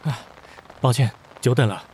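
Casting: actor as composed, considerate young male boss, medium pitch, reserved, urban romance radio play, faint noise behind. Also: normal speed, darkish tone, close to an everyday voice. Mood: gently considerate. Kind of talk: modern urban romantic radio drama acting. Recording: faint noise, rain ambience.

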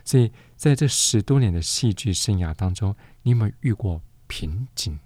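A man's voice: fairly low pitch, animated, moderate pace, mellow, breathy edge, no room sound. A man with a Taiwanese accent hosting an interview in a conversational, attentive way. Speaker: interview host.

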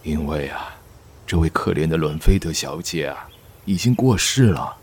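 A man's voice: extremely low pitch, expressive, normal speed, darkish tone, slightly rough, heavily acted. A man playing a grave middle-aged aristocrat in a radio drama, with solemn, theatrical delivery. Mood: grave, troubled. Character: grave middle-aged aristocratic father, reading a grieving letter. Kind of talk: Mandarin radio drama acting.

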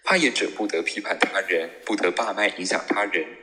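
A man's voice: low-pitched, a little fast, bright, forceful tone. A man hosting a show, reading a scripted introduction. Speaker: show host. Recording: echoey hall sound. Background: music.

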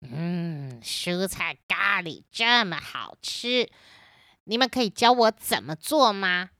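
A woman voicing a hungry but polite and gentle big bad wolf in a children's radio play, speaking slowly and full of wonder. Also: on the low side, very animated, dry recording, clean studio sound, heavily acted. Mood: admiring.

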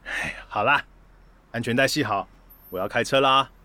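A man's voice: medium pitch, moderate pace, pushed and bright, a little hiss or hum, close to an everyday voice. An actor playing a placating, evasive boyfriend in his twenties in a radio drama, coaxing in a Taiwanese accent. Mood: sheepish, defensive. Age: 20s. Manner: coaxing, excuse-making.

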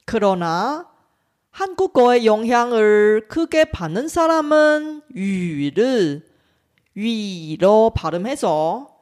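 Slow, high-voiced woman with a Taiwanese accent. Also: very animated, a little mellow. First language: Chinese. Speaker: Chinese language teacher. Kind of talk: scripted educational narration.

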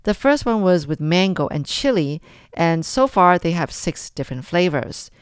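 Apresentadora de rádio com voz grave e limpa. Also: female voice, on the low side, big pitch swings, clear, resonant tone, dry recording, studio quality. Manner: measured questions, frequent affirming murmurs. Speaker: radio show host. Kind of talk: radio interview hosting. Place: studio.

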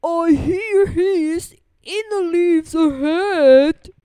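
A woman voicing a big, menacing but gullible monster in a storybook, with a slow, heavy, loud delivery. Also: extremely high pitch, no echo, studio quality, pitched above the performer's own voice, heavily acted. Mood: wary. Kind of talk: storybook character voice.

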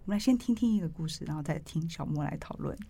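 A woman's voice: deep voice, expressive, fast, vocal fry. A woman hosting an interview in a conversational style. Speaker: interview host.

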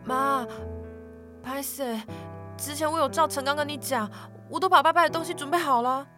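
A hard-pressed working mother, played by a woman, pleading and defensive. Her voice is high. Music plays clearly behind her. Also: fairly even intonation, moderate pace, lightly acted. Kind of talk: radio drama acting.

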